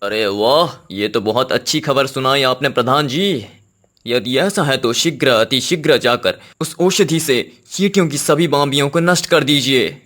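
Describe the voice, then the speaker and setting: A man's voice: medium pitch, a little slow, fairly bright tone, lightly acted. A man playing a commanding cartoon king. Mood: pleased, urgent. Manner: formal, authoritative, giving orders. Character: commanding Indian king, fond of his elephant. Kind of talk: children's cartoon story acting.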